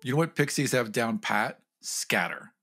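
A man's voice: mid-range voice, moderate pace, music in the background. A man narrating casually in a tabletop game with a wry, playful manner. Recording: clearly noisy track with clicks.

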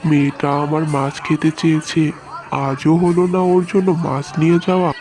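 A man voicing a gentle, hardworking village fishmonger and doting father in a cartoon, speaking in a soft-hearted, reassuring way. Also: a little high, steady tone, normal speed, lightly acted. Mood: apologetic, reassuring. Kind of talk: Bengali moral-tale cartoon dub.

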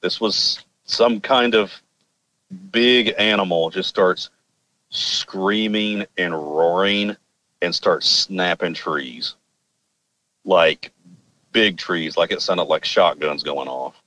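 A man's voice: deep voice, slow, pushed and bright, poor audio. A man from Arkansas with an American accent, casually telling a personal story in an informal, rambling, wry way. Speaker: podcast guest telling personal experiences.

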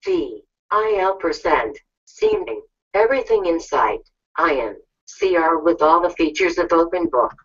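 A woman's voice: fairly low pitch, sing-song, unhurried, husky. A synthetic female text-to-speech voice reading out text as a computer screen reader. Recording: dull, distant playback from computer speakers.

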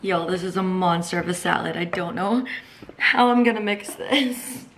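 A woman's voice: lowish voice, moderate pace, a bit bright, reverberant, slight background noise, low-quality recording. A young woman, chatting casually to the camera in a vlog, upbeat and full of "like" and "y'all". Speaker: vlogger. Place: home kitchen and apartment balcony.

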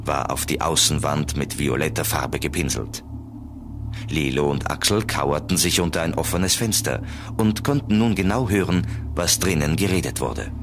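Deep-voiced male storyteller. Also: normal speed, over music. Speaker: narrator. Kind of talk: scripted children's story narration.

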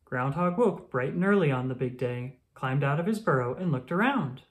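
An expressive reader narrating a children's picture book aloud. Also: mid-range voice, moderate pace. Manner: expressive storytelling. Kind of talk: children's story read-aloud.

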